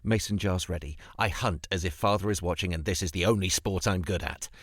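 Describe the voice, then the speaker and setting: A man reading a literary poem with deliberate, dramatic phrasing, close to the microphone. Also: low voice, no echo. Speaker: guest poet reading a poem. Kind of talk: literary poetry recitation.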